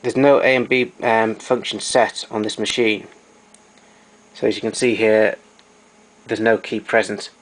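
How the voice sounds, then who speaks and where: A man's voice: on the low side, level tone, a little slow, pauses often, low-quality recording. A man giving an informal, hands-on equipment demonstration, explaining technical details as he goes.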